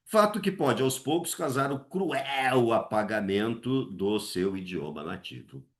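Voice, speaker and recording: A man's voice: expressive, measured pace. A man from Brazil teaching an exam essay lesson, asking himself questions and answering them, with many tag questions. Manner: swings his pitch high to act out voices.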